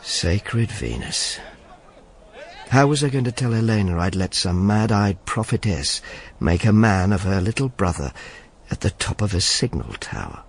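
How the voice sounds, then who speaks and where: A man's voice: lowish voice, expressive, measured pace. An actor playing a wry Roman informer, narrating his misadventures in a dry, sardonic way for a radio drama. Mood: rueful.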